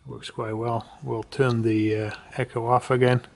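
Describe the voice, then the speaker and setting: Male gear reviewer, casual and halting, mid-range voice, heavy radio hiss behind him. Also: medium pitch, little pitch movement, gravelly. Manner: halting, with hesitant pauses. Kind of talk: casual hands-on demonstration. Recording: loud radio hiss and static. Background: radio noise.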